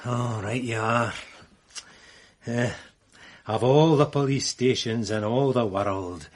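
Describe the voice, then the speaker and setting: A man's voice: medium pitch, takes it slowly. A man playing a wry, cautious police sergeant in a radio mystery drama, dry and understated.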